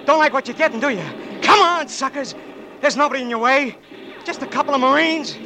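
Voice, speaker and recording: An actor playing a tough young Marine gunner in a 1940s radio drama, shouting and emotional. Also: male voice, very high-pitched, a little fast, full character voice. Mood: defiant. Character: young male Marine gunner, brash, then blinded. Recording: noisy 1940s radio broadcast with battle sounds.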